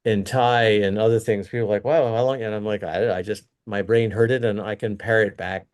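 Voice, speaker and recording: A man's voice: lowish voice, steady tone, brisk, a little mellow. A middle-aged man, a company executive, giving earnest, rambling interview answers full of restarts and fillers.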